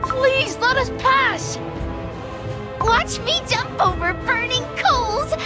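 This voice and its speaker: A tiny young cookie heroine in a cartoon game. The voice is extremely high and very slow, earnest and emphatic, over loud music. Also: female voice, expressive, exaggerated voice. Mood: eager, pained. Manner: exclamatory, emphatic.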